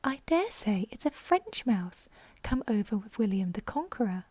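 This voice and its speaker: A woman's voice: a little high, very animated, normal speed, darkish tone, breathy edge, dry recording. A woman voicing a polite young girl from a storybook, eager and apologetic as she chatters. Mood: wondering.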